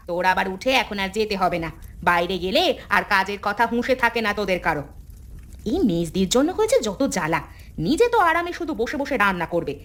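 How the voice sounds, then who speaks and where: A woman's voice: medium pitch, fairly bright tone, close to an everyday voice. A woman voicing a bickering sister-in-law in a village family cartoon, scolding and complaining. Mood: grumbling. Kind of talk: cartoon family drama dialogue.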